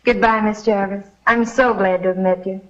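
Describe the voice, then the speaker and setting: A woman's voice: medium pitch, steady tone, normal speed, close to an everyday voice. An American actress playing a gracious, elegant young society lady in an old TV western. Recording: dull, noisy vintage TV soundtrack.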